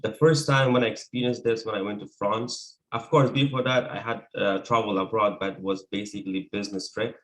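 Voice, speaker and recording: A man's voice: on the low side, fairly even intonation, fairly quick, hardly any pauses, fairly bright tone, poor audio. A man from Afghanistan, a native Persian speaker with an Afghan accent, giving an earnest personal account as an interview guest.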